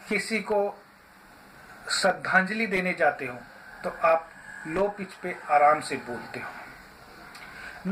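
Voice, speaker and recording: A man giving an instructional talk as a voice therapist, deliberately, phrase by phrase with frequent pauses. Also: sits high, sharp tone, slight background noise, rough recording. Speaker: voice therapist and yoga therapist teaching.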